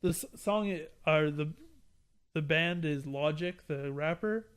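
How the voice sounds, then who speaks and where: Slightly high-voiced man rambling casually. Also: a little slow, clear, resonant tone. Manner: rambling, stumbles over words. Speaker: guest, streamer. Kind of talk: casual podcast chat.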